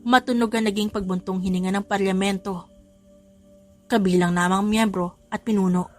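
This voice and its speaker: A woman's voice: mid-range voice, steady tone, normal speed. Filipino woman narrating dramatically over music. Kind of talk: dramatic scripted story narration. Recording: music behind the voice.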